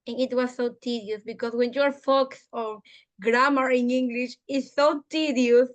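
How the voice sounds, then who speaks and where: A woman in her twenties from Peru talks in earnest, encouraging learner English. Her voice sits slightly high, and she speaks openly in a relaxed practice chat. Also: normal speed. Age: 20s. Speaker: conversation host. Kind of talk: casual practice conversation in English.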